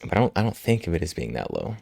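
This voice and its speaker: A male livestream host chatting casually with viewers, slightly hoarse from a cold, relaxed and quick to laugh. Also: deep voice, no echo. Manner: relaxed, laughs often, answers chat. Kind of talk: casual chat with live chat. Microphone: studio microphone.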